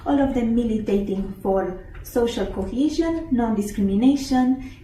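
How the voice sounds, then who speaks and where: A woman giving a short prepared statement in a calm, informative way. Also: on the high side, unhurried. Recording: echoey room, distant mic, faint noise.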